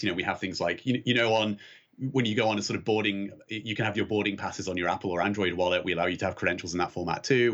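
A man's voice: fairly low pitch, a bit bright, breathy edge, dull audio. A young British man from the UK, a startup CEO answering interview questions eagerly, often repeating words as he starts a sentence.

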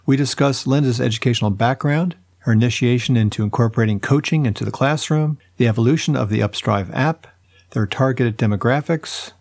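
A man's voice: mid-range voice, darkish tone. Friendly male podcast host. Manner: friendly, reads intro then asks short questions. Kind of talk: podcast interview and intro.

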